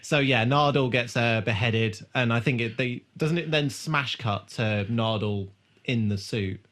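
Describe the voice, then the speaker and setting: Mid-pitched man in discursive casual chat. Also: moderate pace, fairly bright tone. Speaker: podcast co-host. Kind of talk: casual chat recapping a TV episode.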